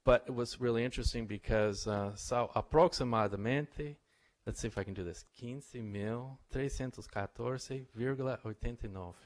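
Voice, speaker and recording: A man's voice: medium pitch, moderate pace, hardly any pauses. A middle-aged man, a visiting professor, tells stories and quizzes a live audience in an informal lecture. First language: English. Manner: chatty, anecdotal, asks the audience questions. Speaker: visiting professor giving a talk.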